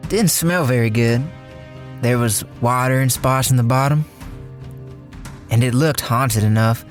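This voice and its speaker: A man's voice: medium pitch, unhurried, close to an everyday voice. Man acting cocky, slangy small-time crook, music behind. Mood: wry, uneasy recounting. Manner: folksy, slangy, sardonic. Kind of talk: radio crime drama acting, first-person narration.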